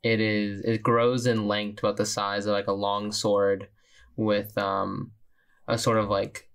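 A man with a fairly low voice plays a tabletop role-playing game. He describes his character's actions casually, halting as he searches for words. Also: flat delivery, gravelly, fairly bright tone, clean tone. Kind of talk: casual role-play narration.

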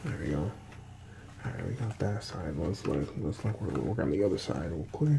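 A soft-spoken man in his thirties playing a barber in an ASMR roleplay, murmuring short remarks close to the mic. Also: deep voice, lively intonation, a little fast, vocal fry. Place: small indoor room set up as barbershop. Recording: loud electric clipper buzz and handling noise. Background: electric razor buzz, barber tool sounds.

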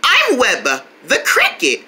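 A woman's voice: a little high, huge pitch swings, unhurried, pushed and bright, slightly rough, full character voice. A woman voicing an eager, tender little girl cricket in a preschool children's show, with childlike, exclamatory phrasing. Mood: caring.

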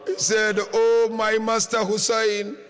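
A man preaching a mourning sermon as a dramatic lament, impassioned and drawn-out, his voice tearful and breaking. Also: high voice, monotone, a bit bright, faint music behind. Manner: impassioned, drawn-out, grief-laden delivery. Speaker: preacher reciting a religious lament.

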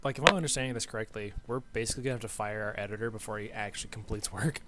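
A man's voice: lowish voice, very fast, very noisy. Joking man narrating a shooter game. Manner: joking, mock-zealous. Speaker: gaming channel co-host. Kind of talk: casual let's-play chat.

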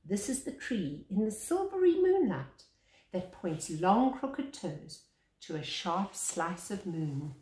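An older woman, a teacher, reads a picture book aloud with deliberate phrasing and pauses between phrases. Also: mid-range voice, sing-song, a little mellow. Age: older, 60s or above. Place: small living room, indoors. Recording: echoey room sound.

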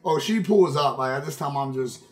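A man in his thirties telling a casual, profane story as a game streamer, in an animated, emphatic way, repeating phrases for effect. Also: mid-range voice, brisk. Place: indoor room at a streaming setup.

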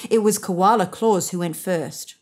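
Expressive woman reading a children's story. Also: on the low side, a little slow. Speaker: storybook reader. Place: indoors, seated on a sofa.

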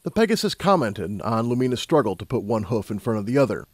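A man narrating a scripted story as an audiobook narrator, in a measured, dramatic storytelling manner. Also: medium pitch, sing-song, a little mellow, husky, no echo.

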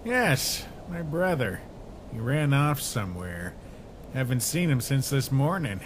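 A performer voicing a sinister hooded male skeleton in a dramatic comic dub, soft-spoken and measured. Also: mid-range voice, sing-song, noisy background. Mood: calm, ominous. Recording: voice over music.

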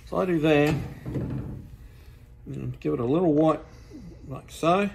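A man in his sixties with a New Zealand accent, showing car restoration work in a workshop in a relaxed, practical, plain-spoken way. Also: a little high, measured pace, vocal fry, a little hiss or hum, poor audio. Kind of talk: casual how-to demonstration. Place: large car workshop, indoors.

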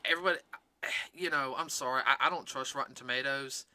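Raspy guy with a really bright voice rambling through a casual podcast movie chat. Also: mid-range voice, fast talker, a little hiss or hum. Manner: rambling, many fillers and restarts. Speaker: podcast co-host.

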